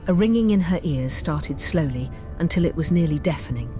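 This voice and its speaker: A woman storyteller reading scripted fantasy narration in a measured, theatrical way. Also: deep voice, expressive. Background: music bed.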